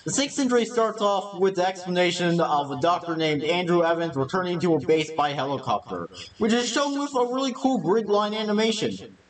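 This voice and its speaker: A man's voice: sits high, sing-song, fairly quick, distant mic, rough recording. A man reading scripted narration for a video essay.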